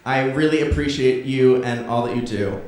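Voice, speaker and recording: A man's voice: medium pitch, moderate pace. A man in his twenties giving an informal, chatty and effusive thank-you speech to an audience from a stage. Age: 20s. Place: theatre stage, in front of an audience. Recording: echoey theatre from far off, audience noise.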